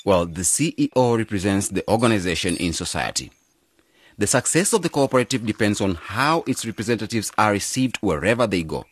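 Deep, husky, earnest project leader, male. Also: animated, no room sound. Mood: persuasive. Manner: deliberate, consultative. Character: earnest male agronomist leading a village cooperative. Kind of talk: radio drama acting.

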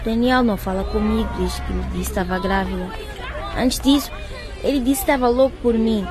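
A woman's voice: fairly high pitch, fairly quick, music in the background, a crowd in the background, natural voice. A woman playing an anxious, pleading pregnant teenage African schoolgirl in a radio drama. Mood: worried, pleading.

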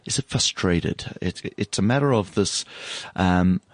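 A man with a hoarse, husky voice, speaking as a guest on a studio radio show, reflective and hesitant, with many fillers. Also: low-pitched, unhurried, no room sound. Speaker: bank executive guest. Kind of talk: casual radio chat.

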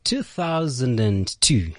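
A young man from Zambia tells his life story as a guest on a radio show, and he is animated, often doubling back on his words. His voice sits in a middle pitch. The studio sound is dry and close. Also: takes it slowly, dry recording. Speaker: guest, leadership coach. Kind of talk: storytelling interview.

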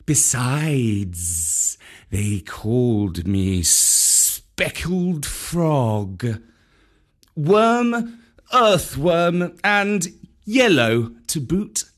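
A man voicing a huge male python, curt, slow drawl, distant odd timbre, storybook. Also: on the high side, exaggerated voice.

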